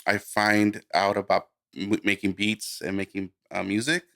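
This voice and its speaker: A man from Guatemala who grew up in the United States, giving a casual interview as a music producer, telling his story informally and haltingly. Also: lowish voice, little pitch movement, vocal fry, bright, forceful tone. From born in Guatemala, raised in the United States. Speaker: music producer, podcast guest.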